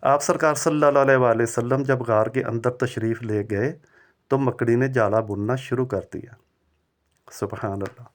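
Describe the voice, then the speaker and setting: A man delivering scripted religious narration as a preacher and storyteller, with formal, measured, reverent diction. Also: medium pitch, fairly even intonation.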